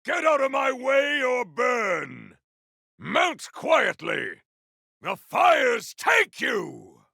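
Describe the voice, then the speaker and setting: An actor voicing a bombastic video game warrior with a rough, gravelly voice and grandiose, formal delivery. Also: male voice, very high-pitched, wildly swooping pitch, extremely slow, sharp tone, dry recording, raised voice, clean studio sound, exaggerated voice. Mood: battle fury. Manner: grandiose, theatrical, formal uncontracted speech. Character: bombastic warrior bellowing battle cries with grim relish. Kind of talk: video game combat barks.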